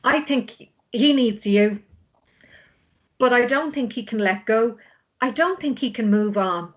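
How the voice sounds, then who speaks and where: A woman's voice: a little high, measured pace, dull audio, lightly acted. A woman playing a blunt, worried, exasperated sister of a grieving drunk in a drama table read. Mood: hesitant, worried. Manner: blunt, pleading.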